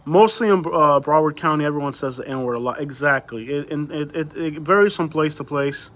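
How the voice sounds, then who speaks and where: A man's voice: fairly high pitch, a little fast, a bit bright. A man from Cuba, a native Spanish speaker, hosting a livestream with casual, conversational chat full of rhetorical questions. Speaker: livestream host. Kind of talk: casual chat, answering live viewer comments.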